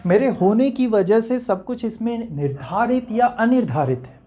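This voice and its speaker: A man acting a theatrical monologue as an enigmatic, uncertain man who addresses his audience in a reflective, rhetorical manner with deliberate pauses. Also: high voice, animated, warm tone. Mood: brooding, questioning. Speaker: actor.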